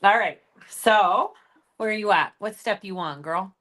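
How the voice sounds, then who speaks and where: A woman's voice: medium pitch, measured pace, a bit bright. A woman hosting a live sewing stream, chatting casually, laughing often and reading out viewer comments.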